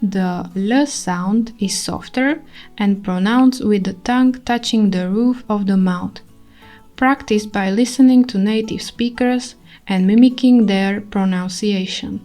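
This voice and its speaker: A woman's voice: mid-range voice, unhurried, mellow, soft background music. A woman with a Slovak accent teaching a scripted language lesson, reading carefully and repeating example sentences.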